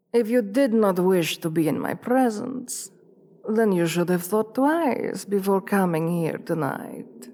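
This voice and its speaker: A woman playing an imperious, seductive vampire queen in an ASMR roleplay, commanding and teasing with condescending, deliberate delivery. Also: mid-range voice, animated, mellow. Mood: hushing, threatening. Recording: long reverb with music behind.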